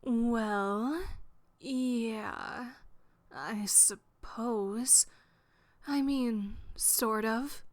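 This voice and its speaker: A woman playing a touchy, teasing young female troll in a fan drama, with halting, defensive banter. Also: on the high side, no room sound, studio quality. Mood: hesitant.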